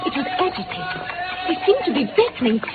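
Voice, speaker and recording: An actress playing an anxious, well-bred English wife with an English accent in a 1950s radio drama. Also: female voice, high-pitched, sing-song, a little fast, background noise. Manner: agitated, pleading, dramatic. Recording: tinny old radio recording, music behind.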